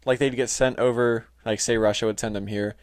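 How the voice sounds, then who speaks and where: A man explaining things casually as a podcast host, halting and informal, often saying "like". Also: mid-range voice, fairly even intonation. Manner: informal, halting, heavy use of "like". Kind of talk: casual explainer talk reading from notes. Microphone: close, touchy microphone.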